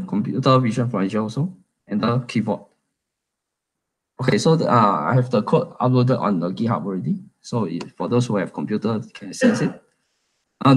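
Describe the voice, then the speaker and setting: A young man from Malaysia giving a casual, halting talk at a tech meetup, with many fillers and restarts. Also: mid-range voice, breathy edge, poor audio. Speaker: conference speaker.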